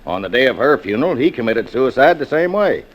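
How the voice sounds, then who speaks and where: Plain-spoken American salesman, lively pitch. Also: a little fast, low-quality recording. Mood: matter-of-fact. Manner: matter-of-fact, informal. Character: plain-spoken, skeptical real estate agent man. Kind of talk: 1940s radio drama acting.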